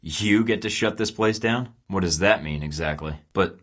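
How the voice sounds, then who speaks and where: A man's voice: low voice, moderate pace, fairly bright tone. An actor voicing a cocky young male spy recruit in a video game, speaking in a wry, sarcastic, self-assured way. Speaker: game character.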